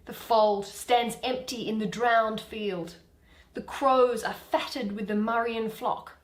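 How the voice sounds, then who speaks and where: A woman voicing a proud, indignant fairy queen in measured, declamatory Shakespearean verse. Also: fairly high pitch, steady tone, echoey room. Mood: aggrieved.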